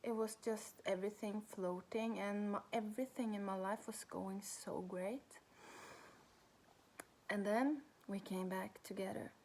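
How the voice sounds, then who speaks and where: A woman in her thirties with a Norwegian accent speaks in a soft, hesitant way, often pausing to search for the right English word. Her delivery is unhurried and slow. She addresses viewers directly in a personal vlog. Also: medium pitch, steady tone, a little hiss or hum. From Norway. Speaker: spiritual coach.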